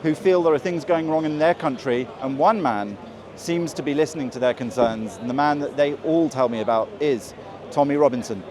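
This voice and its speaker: Male street reporter over a crowd. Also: medium pitch. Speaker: reporter interviewing rally-goers. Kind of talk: on-location reporting and interviewing. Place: outdoor street rally. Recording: busy crowd noise outdoors.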